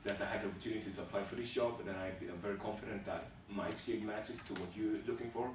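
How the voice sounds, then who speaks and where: A man from Sweden, answering interview questions haltingly, with many fillers and restarts. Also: lowish voice, steady tone. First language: Swedish. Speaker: job applicant in a practice interview. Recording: echoey room, distant mic, background noise.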